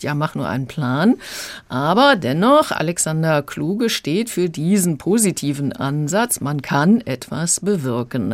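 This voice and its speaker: An older woman with a low voice takes part in a radio review. She explains things reflectively, thinking aloud as she goes. The studio sound is close and dry. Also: darkish tone, no echo. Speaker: audiobook reviewer.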